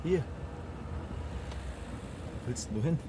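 A man's voice: on the high side, animated, takes it slowly, noisy background. A man playing a casual, teasing teenage boy on a road trip in a radio drama.

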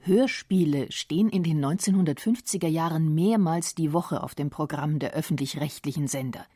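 A woman narrating a scripted radio documentary in a calm, measured way. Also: low voice, breathy edge, no room sound, studio quality.

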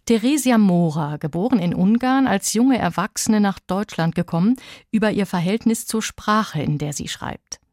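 A woman's voice: mid-range voice, expressive, moderate pace, a little mellow, dry recording. Composed female radio host, dry studio. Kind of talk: scripted radio presenting.

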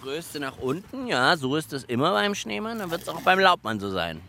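Man host for kids' radio, high and lively, playful hands-on chatter. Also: moderate pace, creaky. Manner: animated and playful, narrates what he is doing.

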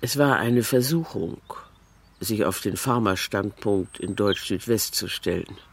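A man reading scripted literary narration in a deliberate, matter-of-fact manner. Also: lowish voice, creaky, breathy edge.

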